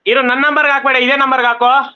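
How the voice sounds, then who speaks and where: A man's voice: very high-pitched, flat delivery, fast, clear, resonant tone. A man in casual chat, informal and often repeating short phrases. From Karnataka, India. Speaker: stranger on a random video chat. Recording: muffled online video chat audio.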